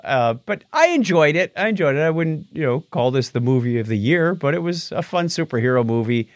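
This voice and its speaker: A man's voice: on the high side, lively intonation, brisk, dry recording. A middle-aged man co-hosting a podcast, chatting casually and wryly about films and TV shows, often poking fun at himself. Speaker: podcast co-host.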